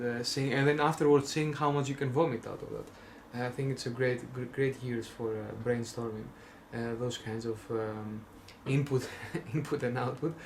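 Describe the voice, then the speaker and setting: A man's voice: mid-range voice, slight background noise. A young man from Greece with a Greek accent, rambling casually in a vlog, full of fillers and self-restarts with wry jokes. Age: young adult. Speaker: vlogger.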